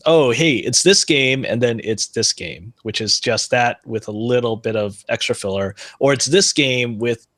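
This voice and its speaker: A man co-hosting a podcast, speaking in a measured, reflective way with a dry edge. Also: mid-range voice, a bit bright. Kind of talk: casual podcast chat.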